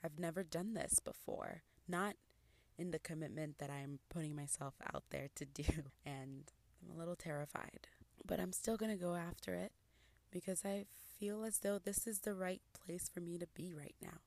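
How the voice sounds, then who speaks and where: A woman from Congo hosts a personal podcast, talking in an earnest, reflective way. Her voice sits low. The recording is dry and close, with no room sound. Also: normal speed, gravelly, no room sound. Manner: earnest, reflective, soft-spoken with occasional laughs. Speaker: podcast host. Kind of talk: personal monologue.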